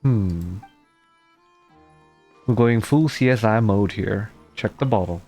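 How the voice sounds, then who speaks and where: A man's voice: fairly low pitch, takes it slowly, a little mellow. A man giving casual, laid-back gameplay commentary, murmuring reactions and reading dialogue aloud. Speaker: streamer playing a game. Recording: game music behind the voice.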